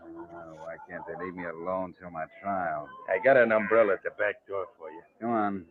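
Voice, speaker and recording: A man's voice: deep voice, steady tone, moderate pace, natural voice. A man acting a surly, wary gangster in vintage radio-drama style. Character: surly, wary gangster men, boss and henchman. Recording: muffled, hissy old radio recording.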